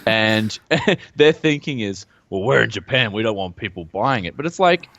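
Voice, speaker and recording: A man, co-hosting a casual tech chat in a relaxed, rambling way. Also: moderate pace, dry recording. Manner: relaxed and rambling, pitch jumps up when excited. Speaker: co-host. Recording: dull, dry lo-fi sound.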